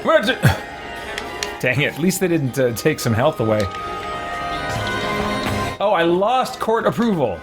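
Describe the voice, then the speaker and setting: A man's voice: a little high, sing-song, measured pace, long pauses. A man giving casual let's play commentary, thinking aloud with playful exasperation. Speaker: gaming channel host. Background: game music behind the voice.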